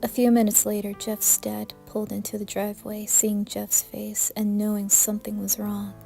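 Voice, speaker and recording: A woman's voice: medium pitch, completely flat delivery, normal speed, creaky, dark tone, faint music behind. A woman reading scripted horror narration as a storyteller.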